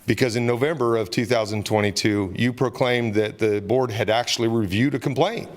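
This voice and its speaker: Pointed man with a mid-pitched voice. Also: fairly quick.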